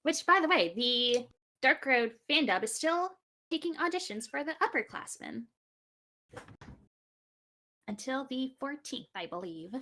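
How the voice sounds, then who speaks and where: A woman's voice: high-pitched, big pitch swings, unhurried, pauses often, pushed and bright. A woman chatting casually as a panelist on a group podcast.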